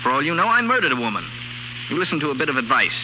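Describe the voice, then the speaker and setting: Brash fugitive man, fast and sardonic, swooping delivery, vintage radio drama. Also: a little high, big pitch swings. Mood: commanding. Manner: bossy, sardonic, theatrically boastful. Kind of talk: 1930s radio drama acting. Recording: muffled, hissy 1930s radio broadcast.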